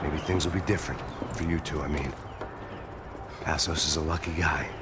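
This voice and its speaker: Bitter, washed-up American game hero; bottom-of-the-range voice, dry noir delivery, loud backdrop of noise. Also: male voice, rumbling low, normal speed. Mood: subdued, wistful. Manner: wry, fatalistic, deadpan. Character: world-weary, bitter middle-aged male action hero and former drinker. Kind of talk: noir scripted narration and cinematic game dialogue. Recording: loud music and noisy ambience behind. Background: loud music, ambient noise.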